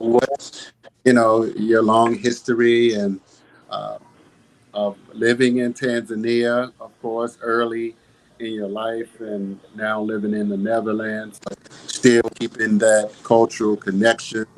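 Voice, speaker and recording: A man acts as host on a video call. His voice is fairly low and stays very even in pitch. He speaks off the cuff in measured, halting phrases. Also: poor audio. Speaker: host, moderator. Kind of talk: unscripted introduction on a video call.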